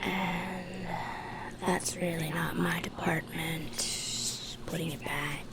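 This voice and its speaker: A woman playing a haughty, sinister dark fairy in an ASMR roleplay, whispering in a dismissive, sardonic way. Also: fairly low pitch, background noise.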